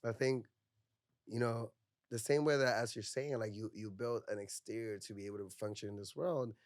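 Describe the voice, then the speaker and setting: A young adult man from Puerto Rico, a counselor speaking as a podcast guest in candid conversation, reflective and soft-spoken, peppering his talk with "like" and "you know". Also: on the low side, moderate pace, no room sound, clean studio sound.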